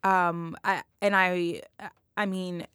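A woman's voice: lowish voice, flat delivery, slow, fairly bright tone, clean tone, dry recording. Chatty podcast host woman, dry close sound. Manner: chatty, enthusiastic, many restarts. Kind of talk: casual interview chat.

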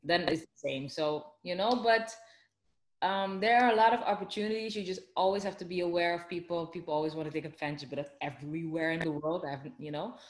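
A woman's voice: fairly low pitch, fast talker, roomy sound, rough recording. A woman with a Dutch accent, chatting casually in an interview with lots of fillers and restarts. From Netherlands.

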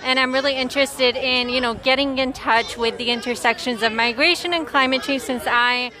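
A woman's voice: sits high, fairly even intonation, fairly quick, bright, forceful tone. A woman being interviewed outdoors at a protest, speaking thoughtfully and hesitantly with many fillers. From immigrant recently moved to Canada. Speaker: interviewee at a climate strike. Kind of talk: street interview. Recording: outdoor crowd noise.

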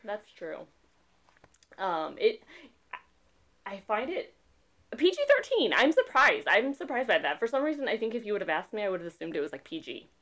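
A woman's voice: medium pitch, sing-song, moderate pace, long pauses, a bit bright. A woman in her thirties co-hosting a casual podcast chat, rambling and laughing often. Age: 30s. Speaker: podcast co-host.

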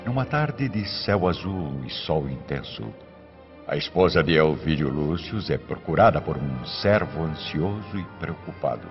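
A man giving solemn, measured scripted narration for a radio drama. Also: very deep voice, animated. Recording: muffled radio recording over music.

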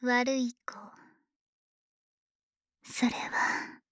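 A voice actress playing a possessive, politely formal female dragon maid in an ASMR audio drama. She talks in a soft, breathy, often whispered way. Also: on the high side, monotone, drawn-out words, dry recording, studio quality. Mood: teasing.

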